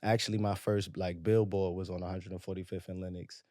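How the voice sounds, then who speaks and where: A man's voice: low-pitched, flat delivery, a little fast, mellow, dry recording, clean studio sound. A man from Harlem with a New York accent, a music artist talking casually as a podcast guest, slangy and laid-back, often repeating himself. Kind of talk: casual conversation.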